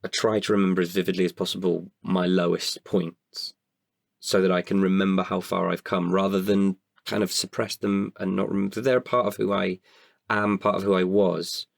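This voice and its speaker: A man with a very deep voice and a creaky edge speaks as an interview guest. He is open and introspective, picking his words hesitantly. The recording is close and dry. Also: flat delivery, moderate pace, no room sound. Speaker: podcast guest, writer and performer. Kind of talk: reflective casual interview talk.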